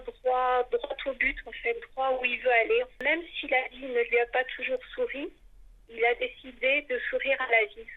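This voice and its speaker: High-voiced woman on phone-like sound. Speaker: early reader giving a testimonial. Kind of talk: personal interview testimony. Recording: narrow, phone-like muffled sound.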